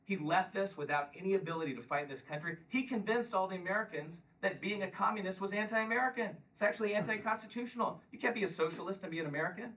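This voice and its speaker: A man's voice: sits high, quick pace, few pauses, a bit bright, distant mic, a little hiss or hum, voice pushed up, rough recording. A man in his fifties mimicking a griping leftist man complaining about an opponent. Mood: mock aggrieved.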